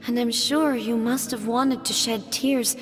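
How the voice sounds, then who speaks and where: A woman voicing a calm, grave female goddess ruler in a video game, with a measured and hushed delivery. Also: a little high, a little hiss or hum, lightly acted. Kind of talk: game voice acting.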